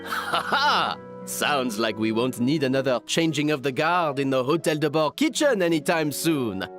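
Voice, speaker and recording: A woman voicing a bouncy, jovial, theatrical young companion in an animated game, with an exclamatory manner. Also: low-pitched, moderate pace, faint music behind. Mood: jubilant.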